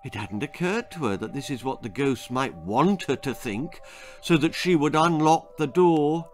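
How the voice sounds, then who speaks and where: A man reading a spooky, darkly comic children's story as its author, with dramatic, suspenseful delivery. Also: medium pitch. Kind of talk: scripted children's horror-comedy storytelling.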